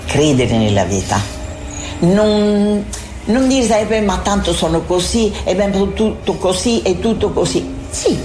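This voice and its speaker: A woman's voice: mid-range voice, mellow, slight background noise. An older woman giving an earnest, encouraging reflective interview answer. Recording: echoey room with faint music.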